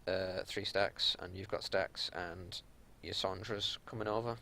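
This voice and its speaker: A man giving unscripted gameplay commentary as a raid leader, explaining tactics in a matter-of-fact way over a home recording with some low rumbling noise. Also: fairly even intonation, unhurried, creaky, sharp tone.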